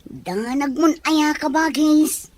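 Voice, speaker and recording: A woman's voice: sits high, slow, no room sound. A woman acting a reassuring young worker bound overseas in an Ilocano audio drama, with a Filipino Ilocano accent.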